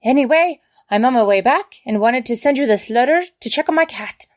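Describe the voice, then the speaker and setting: A woman's voice: a little high, animated, a little fast, natural voice. A woman voicing a prim, indignant hobbit adventurer reading out her letter home with emphatic, dramatic storytelling. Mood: brisk, satisfied.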